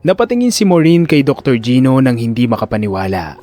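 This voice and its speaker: A man's voice: mid-range voice, sing-song, fairly quick, dark tone, soft background music. A man giving dramatic scripted narration for an audio story.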